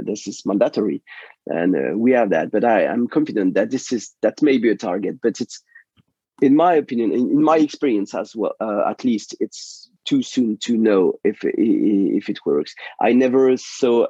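A French man with a French accent, a guest in a technical interview who is losing his voice and speaks haltingly with many fillers and restarts. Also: on the low side, warm tone, slightly rough, dry recording, dull audio. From France.

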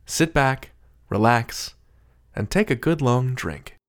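Male podcast host, mid-pitched, for scripted intros; theatrical delivery with playful, wry asides. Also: lively intonation, takes it slowly.

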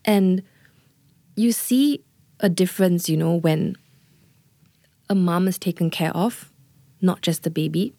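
A woman's voice: fairly low pitch, a little slow, pauses often, dark tone, dry recording. A woman working as a doula, explaining birth in an earnest interview manner and often checking in with "right?" and "you know". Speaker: doula, guest.

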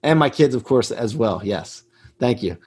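A man's voice: medium pitch, expressive, moderate pace, a little mellow, slightly rough. A man in his forties talking emphatically and earnestly as a self-assured podcast guest, telling motivational stories from business. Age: 40s. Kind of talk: motivational interview talk, anecdotes.

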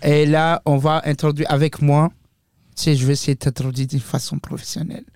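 Young man, fairly high voice, enthusiastic, joking, casual podcast host. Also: little pitch movement, a little fast, a little mellow, clean tone, no room sound, studio quality. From Mauritania. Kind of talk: casual panel chat.

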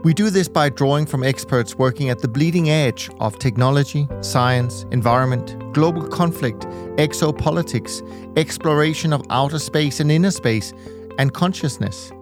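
A man giving a formal scripted introduction to a podcast series. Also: on the high side. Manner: measured, formal delivery. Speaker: series narrator. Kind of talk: scripted narration. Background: guitar music.

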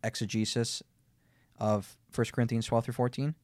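A man's voice: mid-range voice, level tone, moderate pace, darkish tone, dry recording. A man hosting a debate podcast, laying out his argument emphatically step by step with frequent restarts. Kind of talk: debate conversation.

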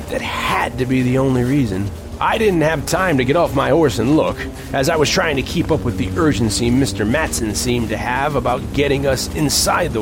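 A man playing an earnest reporter who narrates an eerie western expedition in a deliberate, descriptive way for an audio drama. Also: mid-range voice, close to an everyday voice. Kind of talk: first-person scripted audio drama narration. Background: music.

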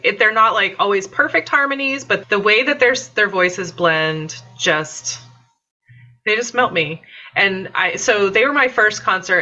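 A woman's voice: mid-range voice, pushed and bright, highs cut off. A woman in her fifties chatting in an interview, heartfelt and effusive, with plenty of "like" and "um". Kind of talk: casual interview chat.

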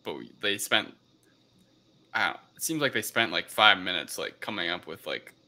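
A man talking informally and haltingly as a panelist in a casual discussion. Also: low voice, lively intonation, sharp tone. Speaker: podcast panelist reviewing films.

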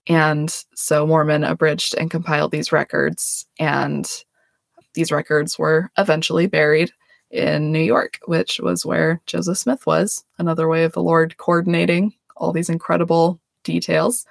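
Gravelly-voiced earnest woman host. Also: deep voice, little pitch movement, unhurried, no echo, studio quality. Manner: earnest, self-deprecating, laughs often. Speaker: podcast host. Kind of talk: casual religious explanation.

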